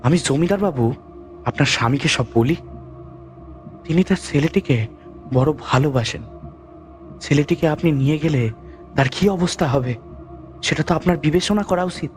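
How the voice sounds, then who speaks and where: An actor plays a village physician in a horror audio drama. He is humble, earnest and deferential, with a pleading manner. The voice sits a bit high, over faint music. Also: moderate pace, pauses often, lightly acted.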